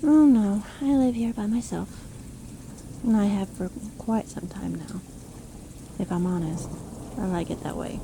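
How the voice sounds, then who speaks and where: A woman playing a seemingly kindly, sly witch who lives alone in a forest, speaking gently and unhurriedly in a fantasy audio roleplay. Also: medium pitch, measured pace, long pauses, noisy background, close to an everyday voice. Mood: welcoming. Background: rain storm ambience.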